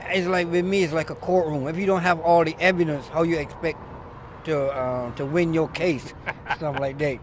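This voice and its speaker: A middle-aged man from Barbados, a former barber, telling stories in an animated, emphatic way during a casual outdoor interview by a street. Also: on the high side, normal speed. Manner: animated storyteller, loud emphatic bursts. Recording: faint street noise outdoors.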